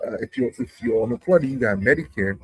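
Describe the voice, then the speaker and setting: A man's voice: fairly high pitch, huge pitch swings, quick pace, gravelly, a bit bright, husky. A man from New York in a casual group discussion, rambling, correcting himself and arguing his point. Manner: rambling, self-correcting, argumentative.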